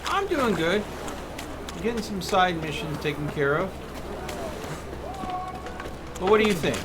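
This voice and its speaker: A man's voice: high voice, sing-song, moderate pace. A man giving casual live commentary as he streams a game, playfully reacting and muttering to himself. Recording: game street noise behind voice. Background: video game ambience.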